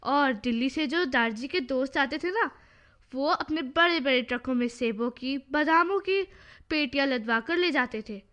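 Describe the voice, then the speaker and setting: A woman playing a confident, know-it-all, excitable little girl in a radio play. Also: sits high, normal speed.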